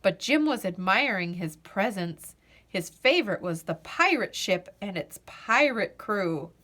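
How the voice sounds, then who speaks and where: A woman reading a children's picture book aloud as storyteller, in an expressive, deliberate manner. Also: medium pitch.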